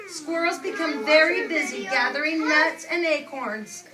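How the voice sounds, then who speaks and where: Woman, preschool teacher, high voice, read-aloud style, simple repeated questions, recorded at a distance. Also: a little slow. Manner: simple instructive phrasing with repetition and questions. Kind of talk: reading aloud to young children and prompting class discussion. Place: preschool classroom. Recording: distant mic in noisy children's classroom. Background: loud chatter of young children.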